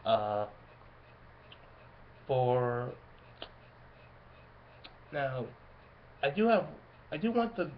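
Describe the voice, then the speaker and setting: A man talks casually and without a script, stopping and starting as he searches for words. His pitch sits in an ordinary middle range. The recording is dull and lo-fi, with faint noise behind him. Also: very animated, drawn-out words, long pauses. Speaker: fan video creator. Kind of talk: casual unscripted commentary. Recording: muffled lo-fi home mic, background noise.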